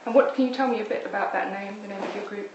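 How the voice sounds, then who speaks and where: A woman's voice: medium pitch, fairly even intonation, quick pace, highs cut off. A woman interviewer asking conversational questions in a tentative, meandering way. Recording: very echoey, distant mic, faint noise.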